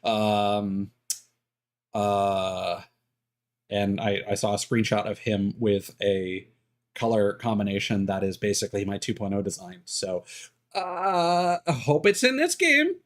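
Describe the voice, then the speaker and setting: A man's voice: fairly low pitch, lively intonation, takes it slowly. A man streaming a game, chatting casually to his audience with lots of ums and uhs. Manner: rambling, many fillers.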